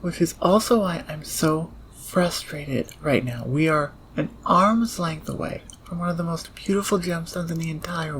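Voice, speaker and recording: Someone acting nerdy inventor thief, precise n awkward, creaky voice, background ambience noise in an audio drama. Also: mid-range voice, lightly acted, rough recording. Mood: frustrated.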